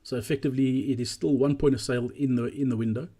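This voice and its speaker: Man with a husky, mellow voice, explaining. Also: medium pitch, steady tone, quick pace. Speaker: presenter. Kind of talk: narrated walkthrough presentation.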